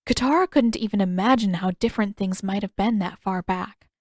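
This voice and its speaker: A woman's voice: mid-range voice, brisk, darkish tone, dry recording, clean studio sound. A woman reading scripted audiobook narration as the narrator of a story. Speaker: narrator, fan fiction author reading own work.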